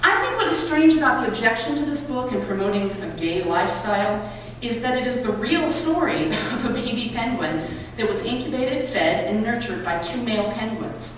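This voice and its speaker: A woman reading aloud to a gathering with clear, expressive storybook phrasing. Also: a little high, normal speed. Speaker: reader at a public read-aloud event. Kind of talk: reading a children's book and an essay aloud. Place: college building lobby. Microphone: distant microphone. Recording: echoey hall, distant mic, noisy ambience.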